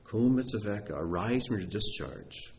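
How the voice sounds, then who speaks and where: A man preaching and teaching, animated and emphatic, often dropping to a hushed voice. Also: fairly low pitch, moderate pace, vocal fry. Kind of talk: sermon.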